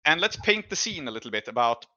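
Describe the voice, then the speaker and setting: A man running a tabletop role-playing game, improvising scene descriptions and rules calls in a halting, thinking-aloud way. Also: on the high side, big pitch swings, bright, forceful tone, no echo, dull audio.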